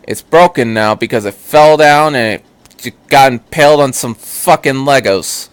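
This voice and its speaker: A man's voice: medium pitch, moderate pace, clean tone, no room sound. A man reading fan fiction aloud as an amateur narrator of a scripted story.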